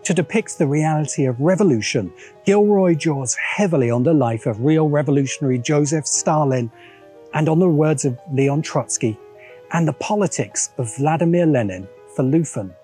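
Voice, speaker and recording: A man hosting a video essay, reading scripted narration in a measured, emphatic way with dramatic pauses. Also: fairly high pitch, expressive, warm tone, soft background music.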